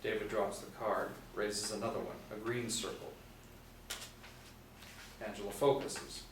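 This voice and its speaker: Low-voiced middle-aged man narrating, roomy sound. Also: level tone, unhurried, gravelly. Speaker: narrator of stage directions. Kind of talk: scripted narration at a screenplay table read. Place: small indoor room, seated group reading. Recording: roomy, distant mic, faint room noise.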